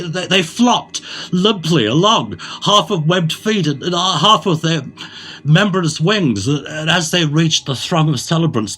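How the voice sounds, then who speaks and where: A man giving a theatrical, dramatic narration of a gothic horror tale, phrasing it deliberately, with music behind him. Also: fairly low pitch, sharp tone, slightly rough.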